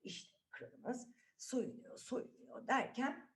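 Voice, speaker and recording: Animated, high-voiced woman telling children's tales. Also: slow pace. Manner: playful, chatty, checks in with listeners. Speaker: children's storyteller, host. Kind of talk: animated fairy tale narration. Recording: music behind the voice. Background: music.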